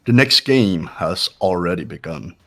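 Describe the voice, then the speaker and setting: A man's voice: low voice, lively intonation, a little slow, fairly bright tone, exaggerated voice. A man voicing a cold, haughty, condescending young man who gives orders in a fan-voiced visual novel game. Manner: condescending, clipped.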